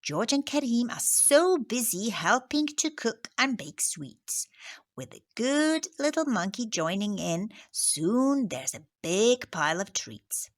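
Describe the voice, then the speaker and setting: A woman teacher reading a picture book aloud to children, expressive and dropping into soft whispers on headings and asides. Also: fairly high pitch, takes it slowly. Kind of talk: children's story read-aloud.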